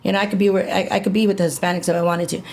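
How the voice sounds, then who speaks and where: A woman in her fifties telling a gritty personal story in a casual interview, animated, repeating herself and swearing freely. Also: fairly low pitch, very fast, creaky, slight background noise. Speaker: interview guest.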